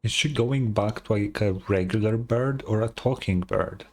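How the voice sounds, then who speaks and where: A man's voice: lowish voice, moderate pace. A man casually reacting to a video game as he streams it.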